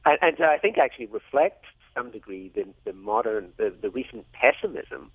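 A middle-aged man from Scotland, an economics professor, answering radio interview questions over the phone, measured and full of hesitations. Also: mid-range voice, animated, husky, no echo. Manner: hesitant, many uhs, measured explanations. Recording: tinny phone line.

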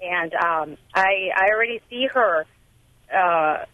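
A woman's voice: lowish voice, takes it slowly, no echo. A woman whose first language is Spanish calls in to a radio show. She speaks hesitantly, with frequent ums. The phone line sounds thin and narrow. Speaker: caller.

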